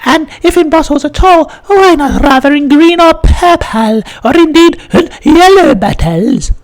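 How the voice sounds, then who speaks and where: A man's voice: very high voice, expressive, measured pace, pitched above the performer's own voice. A man voicing a polite, prim young girl in formal, questioning tones.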